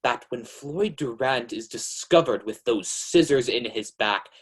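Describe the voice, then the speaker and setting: An actor playing an ominous, knowing narrator of crime tales in the style of 1940s radio suspense. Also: male voice, mid-range voice, lively intonation, husky. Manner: deliberate, ominous.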